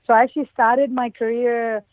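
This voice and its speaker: A woman's voice: fairly high pitch, flat delivery, moderate pace, no echo. A woman from Oman and India answering as a podcast guest, telling personal stories in a conversational interview style. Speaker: podcast guest, production engineer. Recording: lo-fi band-limited call audio.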